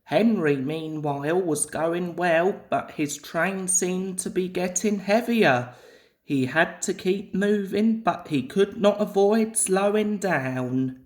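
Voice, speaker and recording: A woman reading a children's story aloud in a measured, deliberate way, as a scripted storybook narration. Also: on the low side, a little mellow. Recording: echoey room.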